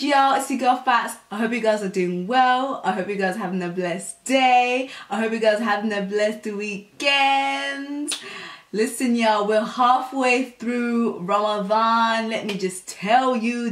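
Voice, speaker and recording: A young woman talks casually to her viewers. She chats in slang, full of fillers and repeated phrases. Her voice sits at a middle pitch, and the room around her is noticeably echoey. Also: fairly bright tone, a little hiss or hum. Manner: chatty, slangy, lots of fillers. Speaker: vlogger. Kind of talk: casual talk to camera.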